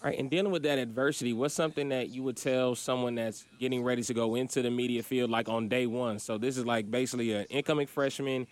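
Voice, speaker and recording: A man hosts a podcast in a loose, conversational way, full of "you know" and easy fillers. His pitch sits in a typical middle range for a man. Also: fast talker, hardly any pauses. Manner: relaxed, chatty, lots of fillers. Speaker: podcast host. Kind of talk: casual interview.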